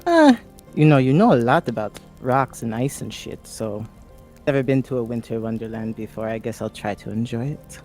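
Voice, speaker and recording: A tabletop game player role-playing in a casual, sweary way. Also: female voice, rumbling low, lively intonation, moderate pace, a little mellow, faint music behind. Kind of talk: casual in-character role-play.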